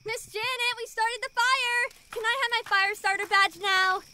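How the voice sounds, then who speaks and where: An actress playing an eager, excitable little kid scout in an audio drama. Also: female voice, very high-pitched, normal speed, a little hiss or hum, full character voice.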